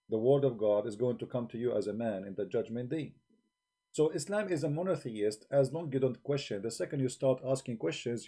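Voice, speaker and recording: A man talks into a desk microphone on a livestream, firing one rhetorical question after another in broken, non-native grammar. His voice is very dark and mellow, with a husky edge, at a middle pitch. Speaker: livestream host debating religion. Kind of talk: argumentative livestream talk.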